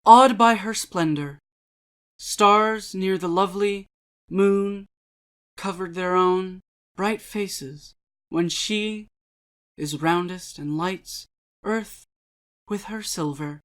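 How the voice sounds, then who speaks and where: A woman giving a dramatic reading of poetry and prose in a measured, deliberate, theatrical way. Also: mid-range voice. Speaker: channel host and reader.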